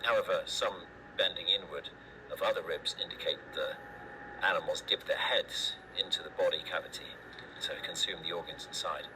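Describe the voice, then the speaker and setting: A low-pitched male doctor, played by an actor, dictates a grim horror report. He is formal, clinical and detached, choosing his words deliberately. The tape is clearly noisy. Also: lightly acted. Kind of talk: scripted analog horror narration, recorded report.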